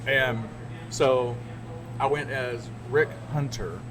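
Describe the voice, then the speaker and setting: A middle-aged man who works as a radio DJ talks through his stories in a loose, rambling way, often laughing at himself. His voice sits at an ordinary pitch. The recording has steady background noise. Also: slow pace. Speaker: podcast co-host and radio DJ. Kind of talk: casual chat.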